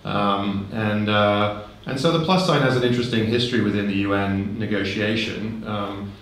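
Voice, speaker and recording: A man giving a relaxed conference talk, conversational, with frequent ums and light humor, into a handheld microphone. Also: on the low side, normal speed. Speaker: conference speaker. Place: large conference hall. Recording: very echoey hall.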